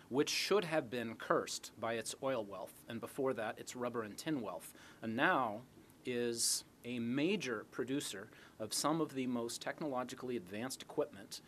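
A middle-aged man answering audience questions as an academic speaker in a lecture hall, explaining through rhetorical questions. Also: mid-range voice. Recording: noisy lecture hall recording.

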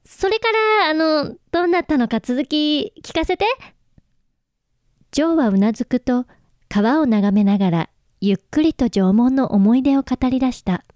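Shy young woman, high and mellow. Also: animated, moderate pace, no echo, clean studio sound. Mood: tentative. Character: shy, lovelorn young woman, soft and wistful. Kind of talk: radio drama acting with first-person narration. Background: faint instrumental music.

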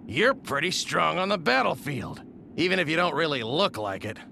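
An actor playing a brash, casual warrior in a video game, breezy, informal and teasing. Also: male voice, fairly high pitch, sing-song, moderate pace, gravelly, bright, forceful tone, slight background noise, full character voice. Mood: amused, friendly. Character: brash, casual, plain-spoken male fellow warrior. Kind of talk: video game character acting.

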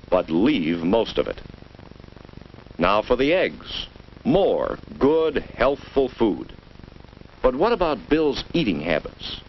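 A man with an American accent narrating a 1950s instructional film in a measured way, as if speaking directly to a child. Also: medium pitch. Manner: measured, addresses the child directly with rhetorical questions. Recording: dull, noisy vintage educational film soundtrack.

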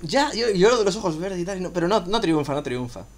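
Young male guest, fast and blunt, joking, casual swearing, voice call. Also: high-pitched, sing-song. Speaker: guest, gaming clan leader.

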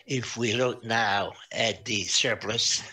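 A man presenting financial results to a council, reading out figures with many pauses and fillers. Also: fairly low pitch, steady tone, sharp tone, breathy edge. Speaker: auditor presenting financial statements. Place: municipal council meeting over video link.

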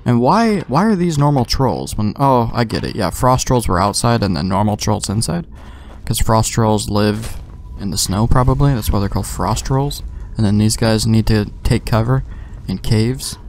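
A man's voice: on the low side, lively intonation, moderate pace, a little mellow, a little hiss or hum. A young man giving offhand, slangy commentary as he plays a video game, talking to himself. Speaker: gamer, let's play host.

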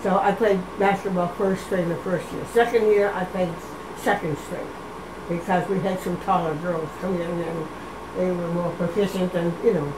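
A woman's voice: on the low side, steady tone, moderate pace, reverberant, far from the mic, background noise. An older woman telling proud, chatty stories of her college days in an interview. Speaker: alumna interviewee. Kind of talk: reminiscing interview.

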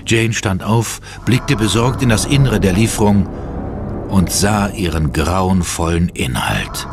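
A man narrating a horror audio drama in a dramatic, scripted style. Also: fairly low pitch.

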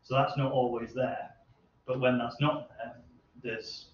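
A man in his thirties answering questions at an informal technical seminar, haltingly thinking aloud. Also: medium pitch, flat delivery. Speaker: researcher presenting at a seminar. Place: medium seminar room with hard walls. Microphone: distant camera microphone. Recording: roomy, distant, muffled, noisy room recording.

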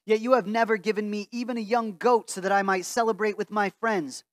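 High-voiced pastor reading scripture. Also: male voice, fairly even intonation, fairly quick, dry recording, clean studio sound. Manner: earnest, reads aloud from a Bible while standing. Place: church sanctuary before a stained-glass wall.

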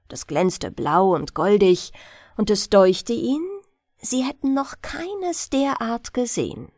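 A woman narrates a bedtime fairy tale as an audiobook reader. Her voice sits on the high side and moves with lively, varied intonation. She takes her time, telling the story expressively. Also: no echo, studio quality.

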